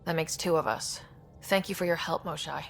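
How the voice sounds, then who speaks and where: Gravelly young female space commander. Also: sits low, moderate pace, faint music behind. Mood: focused. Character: young woman space explorer leader, determined, level-headed. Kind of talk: video game voice acting.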